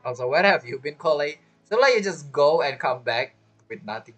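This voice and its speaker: A man's voice: fairly high pitch, expressive, moderate pace, fairly bright tone, soft background music. A young man doing casual, joking playthrough commentary, reacting aloud to a video game. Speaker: gaming streamer.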